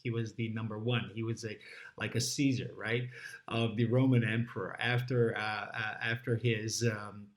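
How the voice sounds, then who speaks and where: A man's voice: fairly low pitch, little pitch movement, normal speed. A man from Croatia with a Croatian accent, giving a recorded lecture to dentists with many fillers and restarts. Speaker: orthodontist lecturer.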